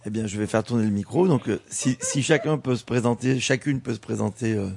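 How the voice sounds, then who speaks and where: A man's voice: mid-range voice, a little fast, creaky, darkish tone, slightly rough. A man guiding a group radio show and handing round the microphone, heard from off-mic. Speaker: show facilitator.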